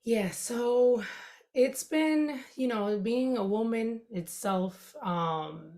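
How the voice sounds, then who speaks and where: A woman's voice: on the high side, drawn-out words, mellow. A woman from the Dominican Republic with a Dominican accent, a podcast guest speaking candidly and reflectively in a casual conversation. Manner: candid, reflective, with many "you know" fillers.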